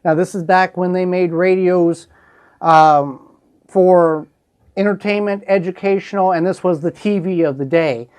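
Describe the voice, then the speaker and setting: A man's voice: high voice, fairly even intonation, a little slow, darkish tone, clean tone. A man hosting a casual show-and-tell, explaining things in a folksy, plainspoken way. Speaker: host showing a collectible.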